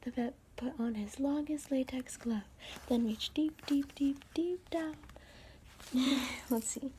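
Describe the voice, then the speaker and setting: A woman reads a children's picture book in a soft, breathy ASMR whisper, adding playful asides. Her voice is high and she speaks slowly. Steady noise hisses behind the recording. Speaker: storybook reader. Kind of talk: whispered ASMR story reading.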